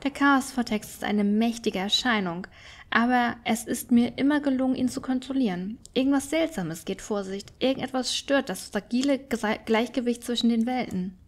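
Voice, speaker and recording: A woman's voice: fairly high pitch, close to an everyday voice. Aloof ancient witch, read by a woman. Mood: concerned. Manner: formal, measured phrasing. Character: ancient, aloof, wise witch of a fantasy world.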